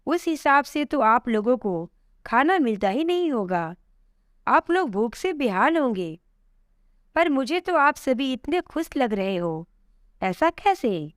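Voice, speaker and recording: A woman's voice: high voice, sing-song, takes it slowly, no room sound, clean studio sound, heavily acted. A woman voicing a kind, curious, pious elderly woman in a polite, wondering storybook manner. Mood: amazed.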